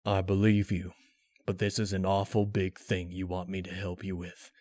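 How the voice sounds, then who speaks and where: A man voicing a big, gentle but stern farm pony who talks in a slow, grave, folksy way for a story reading. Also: sits low, level tone, no echo, clean studio sound, close to an everyday voice. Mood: reluctant, resigned.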